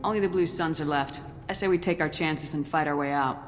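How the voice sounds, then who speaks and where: Woman as tough female commander, low voice, direct and firm, video game drama, faint music behind. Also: fairly quick, natural voice. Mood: resolute.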